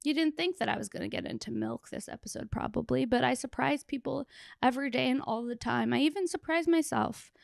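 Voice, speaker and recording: Hoarse, gravelly young woman podcasting. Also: high-pitched, fairly quick, dry recording, studio quality. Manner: blunt, sweary, joking. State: hoarse, worn-out voice after a festival; exhausted. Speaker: comedy podcast host. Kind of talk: casual solo podcast riffing. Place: small plain room indoors. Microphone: handheld microphone held close.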